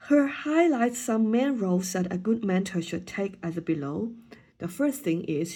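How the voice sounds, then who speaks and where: Woman w/ chinese accent giving a class presentation, slow and mellow, lots of ums. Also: mid-range voice. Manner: hesitant, frequent ums and restarts. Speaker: student teacher presenting an assignment.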